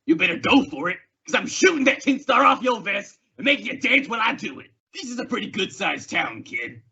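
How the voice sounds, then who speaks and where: An actor playing a menacing, mocking western outlaw bully with a raspy, harsh voice and jeering, drawling threats in an old-time radio drama. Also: male voice, high voice, sing-song, a little fast, bright, forceful tone, lo-fi sound, heavily acted. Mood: threatening.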